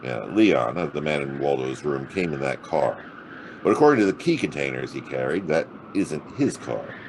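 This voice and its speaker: A man's voice: very deep voice, very animated, normal speed, slight background noise, heavily acted. An American man playing a wry, sardonic hard-boiled private detective in a noir audio drama. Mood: wry, casual. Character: hard-boiled, wisecracking male private detective, narrating his case.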